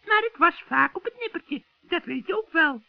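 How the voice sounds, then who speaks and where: A man voicing a scheming old witch in a children's radio play, shrill and cackling, with sugary, wheedling friendliness. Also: expressive, gravelly, no echo, heavily acted. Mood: sly, coaxing. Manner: wheedling, drawn-out coaxing. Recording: dull, dry vintage radio recording.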